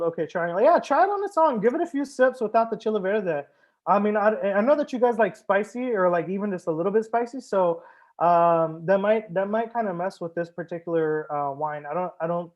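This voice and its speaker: Man, high-pitched, sommelier host, relaxed live-stream banter, jokey, casual swearing. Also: highs cut off. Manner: laid-back, joking, swears casually; chats with viewers, answers comments.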